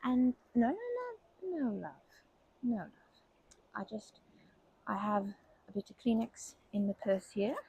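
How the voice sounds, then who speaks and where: A woman playing a kindly, protective grandma in an ASMR comfort roleplay, speaking gently and hesitantly with fond pet names. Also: mid-range voice, measured pace, long pauses, poor audio. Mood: gentle concern. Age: older. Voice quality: creaky, husky.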